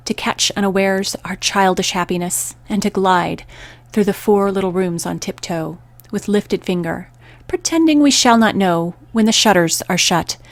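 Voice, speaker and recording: A woman hosting a poetry radio program, reading scripted narration and verse in a measured, deliberate way with pauses between phrases. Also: fairly low pitch, no echo.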